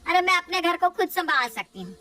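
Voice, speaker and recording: A woman's voice: extremely high pitch, sing-song, a little fast, recorded from a distance, noisy background, voice pushed up. A woman voicing a proud older mother-in-law who scolds her family and later admits she is worn out. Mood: scolding.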